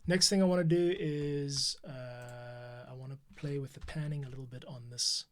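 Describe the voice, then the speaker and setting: A man's voice: mid-range voice, fairly even intonation, normal speed. A man giving a casual editing tutorial, thinking aloud and muttering to himself as he works at his keyboard. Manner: muttering to himself while working. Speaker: podcast editor giving a tutorial. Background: keyboard typing and mouse clicks.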